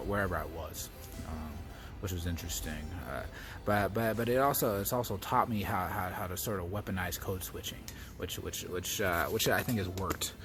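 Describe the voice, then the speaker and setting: An American man telling reflective personal stories as a storyteller, speaking haltingly and often repeating words as he searches for the next phrase. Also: sits low. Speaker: comedian and storyteller.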